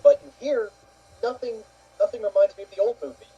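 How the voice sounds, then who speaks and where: A man co-hosting a casual chat in a loose, slightly halting way, on a muffled recording. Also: sits high, mellow, slightly rough. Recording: dull, muffled lo-fi audio.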